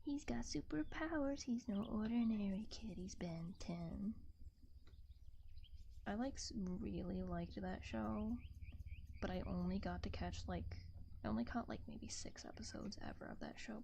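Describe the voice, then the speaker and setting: A woman streamer chatting casually in a soft, breathy, often whispery ASMR style, gently rambling close to a binaural microphone. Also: mid-range voice, a little slow, pauses often, slight background noise. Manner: gentle, rambling, reading chat aloud.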